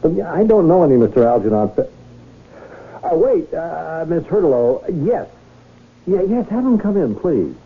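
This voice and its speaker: A haughty man of money in a vintage radio drama. He stammers with pompous indignation, his pitch leaping about. The broadcast is muffled, with faint hiss. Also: very animated, unhurried. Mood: haughty curiosity. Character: blustering, flustered rich businessman, haughty yet tempted. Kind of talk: old-time radio drama acting.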